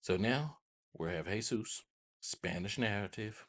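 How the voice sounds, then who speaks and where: An American man with a husky voice hosting a casual software tutorial, rambling informally and often checking in with listeners. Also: on the low side, measured pace. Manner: informal, rambling, repeats check-in tags like "right?" and "okay?". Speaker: host of a tech tutorial channel.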